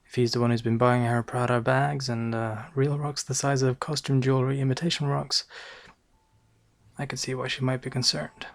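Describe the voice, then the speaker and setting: A man with a Northern English accent playing a boastful, bumbling noir private eye, narrating deadpan with hesitant asides in an ASMR roleplay. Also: medium pitch, moderate pace, vocal fry, natural voice. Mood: wry, self-satisfied.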